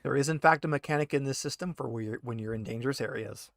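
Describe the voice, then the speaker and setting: A man in the game master's seat, speaking at a middle pitch in a dry, close space. He describes scenes casually and slips in offhand remarks as he goes. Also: quick pace, creaky, fairly bright tone, no echo. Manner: conversational asides amid scene descriptions. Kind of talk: casual narration of a tabletop roleplaying game.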